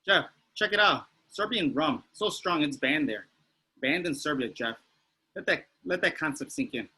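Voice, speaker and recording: A petty, boastful rich old man, read by a man at a table read. The voice is husky yet sharp, pitched a little high. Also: expressive, moderate pace, heavily acted.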